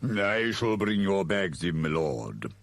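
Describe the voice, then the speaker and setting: A man's voice: sits low, big pitch swings, slow pace, dry recording, exaggerated voice. A man voicing a gloomy, frosty manservant in a storybook, formal and dryly deferential. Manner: formal, peeved, scornful.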